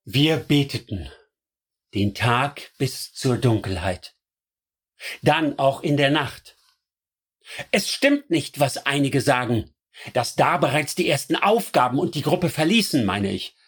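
A woman's voice: rumbling low, lively intonation, takes it slowly, husky, full character voice. A woman narrating in first person for a radio drama, solemn and reflective. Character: woman looking back on the crusade, first person.

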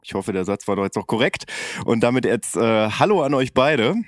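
A man's voice: medium pitch, lively intonation, a little fast, no echo, clean studio sound. A man in his thirties hosting a casual podcast chat, rambling and correcting himself mid-sentence. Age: 30s. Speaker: podcast host.

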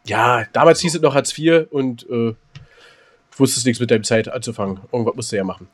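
A man's voice: medium pitch, fairly quick. A man co-hosting a joking podcast, trading dry, teasing banter. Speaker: podcast co-host. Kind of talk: joking casual chat.

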